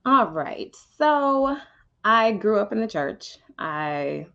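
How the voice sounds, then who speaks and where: A woman from Houston, Texas, a podcast guest sharing her faith testimony in a reflective, halting way with many ums. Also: mid-range voice, big pitch swings, gravelly, sharp tone, muffled recording. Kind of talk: personal testimony in conversation.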